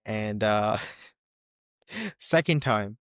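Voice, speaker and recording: A man's voice: fairly low pitch, lively intonation, drawn-out words, no echo, clean studio sound. A man hosting an interview podcast, speaking in an upbeat way with frequent laughter and small verbal slips, over a video call. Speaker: podcast host. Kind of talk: casual interview conversation.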